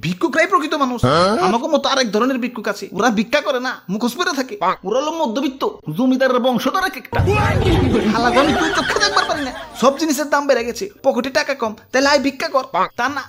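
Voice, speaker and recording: A woman's voice: high voice, fast talker, a bit bright. A woman voicing a proud, brash young beggar in a comic cartoon, ranting in streetwise slang with a Bangladeshi accent.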